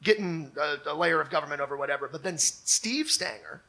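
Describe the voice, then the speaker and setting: A man co-hosting a live comedy show, talking off the cuff in a halting, exclamatory way and often restarting himself. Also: on the high side, creaky, pushed and bright, poor audio. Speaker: co-host of a local comedy show. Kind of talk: comedic unscripted talk before a live audience.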